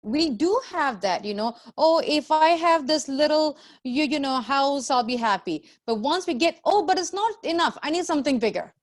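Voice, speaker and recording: A woman's voice: high voice, animated, moderate pace, few pauses. A woman teaching a scripture class to a group, explaining in a didactic way with repeated phrases and rhetorical questions.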